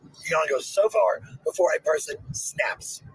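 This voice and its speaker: A woman with a gravelly, creaky voice, defiant and swearing freely in a heated livestream rant. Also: on the low side, moderate pace, low-quality recording. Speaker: parent.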